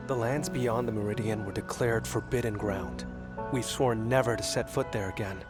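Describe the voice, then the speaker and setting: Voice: mid-range voice, steady tone, moderate pace, lightly acted. An actor voicing a polite, earnest young ranger in a video game cutscene, speaking courteously and a little formally. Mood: firm, sober. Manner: courteous, sincere, slightly formal. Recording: loud music behind the voice.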